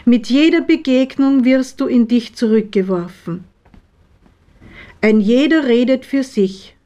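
A woman reading her own poems in a measured, deliberate way with pauses between phrases. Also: on the high side, warm tone, highs cut off. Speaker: poet, author reading own work. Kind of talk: literary poetry reading.

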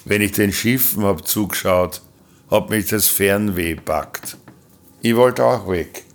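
A plain-spoken seaman who is hard of hearing. His voice is fairly low and he takes his time over every phrase, as a radio play character. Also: slow pace. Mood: wistful.

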